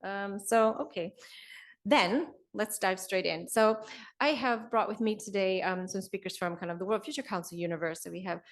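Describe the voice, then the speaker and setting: A woman moderating a roundtable in a conference room, friendly and quick to laugh. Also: mid-range voice.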